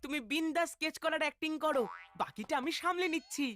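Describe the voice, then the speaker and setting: A woman's voice: high-pitched, steady tone, fast talker, fairly bright tone. A woman voicing a cheeky, scheming child in a cartoon, sounding cocky and playful. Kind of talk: cartoon voice acting. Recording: music behind the voice.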